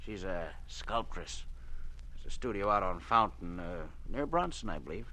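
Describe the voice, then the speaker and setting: A man's voice: sits low, lively intonation, moderate pace, sharp tone. An actor playing a cool, businesslike male agent with a clipped, sometimes hesitant manner in an old-time radio drama. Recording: vintage radio drama recording.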